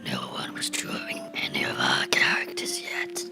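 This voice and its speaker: A man voicing a bitter, menacing cartoon character in a slow, brooding horror ASMR monologue, whispering in a breathy, gravelly voice. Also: very high voice, huge pitch swings, heavily acted. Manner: slow, deliberate, brooding storytelling with dramatic pauses. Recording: whispered with music underneath.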